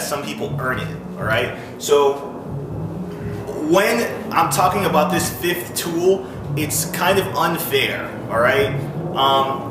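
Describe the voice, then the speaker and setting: A man's voice: fairly high pitch, noisy background. A man giving an informal, blunt instructional talk as a coach, explaining points and often checking in with the listener. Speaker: music industry coach, video host. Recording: roomy echo with clear music underneath. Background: music.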